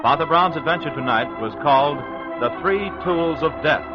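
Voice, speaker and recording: A man's voice: a little high, normal speed. A man announcing in a formal 1940s radio style, with scripted delivery. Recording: dull vintage broadcast recording. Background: loud organ music.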